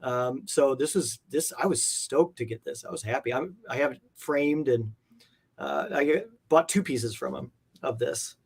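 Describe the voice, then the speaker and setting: A man's voice: medium pitch, moderate pace, slightly rough. A man talking casually as an interview guest, with an enthusiastic, rambling, conversational manner. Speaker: guest, comic art collector.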